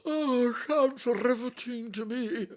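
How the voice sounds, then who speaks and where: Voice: medium pitch, slow pace, lightly acted. An actor playing a haughty, easily offended Elizabethan male playwright in an audio play, speaking in a pompous, formal, disdainful way. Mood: disdainful, sarcastic.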